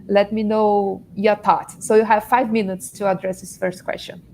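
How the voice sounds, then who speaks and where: A woman's voice: medium pitch, flat delivery, moderate pace, darkish tone, slight background noise, rough recording. A woman moderating a panel, asking a long question that rambles and restarts.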